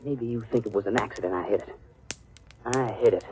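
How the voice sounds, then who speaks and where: A man's voice: on the low side, fairly quick, mellow, lightly acted. A man playing a cocky, touchy young cowhand with a sullen, challenging manner in a radio western. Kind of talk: 1950s radio western acting.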